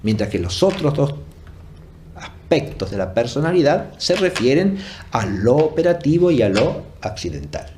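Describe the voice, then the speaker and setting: A man giving an explanatory academic lecture off the cuff, deliberate and often checking for agreement with short tag questions. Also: mid-range voice, big pitch swings, darkish tone, a little hiss or hum. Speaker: philosophy lecturer.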